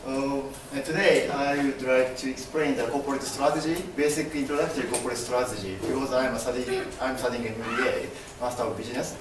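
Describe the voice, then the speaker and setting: Young man, Japanese accent, mid-pitched, presenting in class; halting with fillers, recorded by a far camera in a very echoey room. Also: fairly even intonation, noisy background. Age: young adult. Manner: halting, many fillers and restarts, gestures broadly. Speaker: MBA student presenter. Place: classroom with projector screen.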